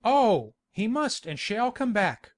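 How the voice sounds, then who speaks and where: A woman doing a bluff, insistent country gentleman. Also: a little high, sing-song, slow pace, voice pushed up, heavily acted. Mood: coaxing, bluff. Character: hearty, insistent country baronet.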